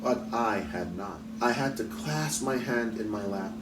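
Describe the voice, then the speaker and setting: A man's voice: fairly low pitch, measured pace, far from the mic, heavy background noise, close to an everyday voice. A man voicing a proud, indignant teenage girl in a storybook, terse and resentful. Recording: roomy echo, off mic, music behind.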